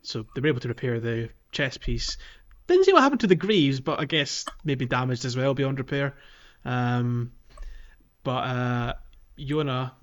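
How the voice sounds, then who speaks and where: A man with a medium-pitched voice chats as a podcast co-host. He recounts a game in a loose, hesitant, conversational way. The audio is a little dull and muffled. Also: lively intonation, normal speed. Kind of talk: casual chat recapping a video game.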